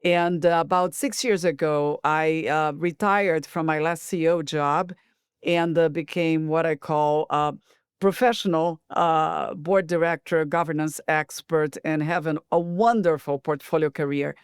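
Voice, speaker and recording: A middle-aged woman from Brazil with a Brazilian Portuguese accent, a board director guest on a podcast interview, speaking in a measured way and thinking aloud. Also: deep voice, steady tone, smooth, no echo, clean studio sound.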